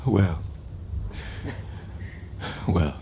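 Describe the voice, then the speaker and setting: A man's voice: low voice, very slow, creaky, mellow, a little hiss or hum, full character voice. A man acting a grieving, bitterly ironic king facing deposition in a Shakespearean radio play, with brooding, theatrical verse delivery. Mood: bitter grief.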